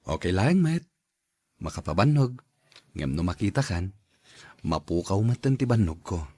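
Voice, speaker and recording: Man acting a lively-voiced, loyal young husband. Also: sits low, moderate pace, breathy edge, no room sound, full character voice. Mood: adoring. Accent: Ilocano Filipino. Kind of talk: Ilocano audio drama acting.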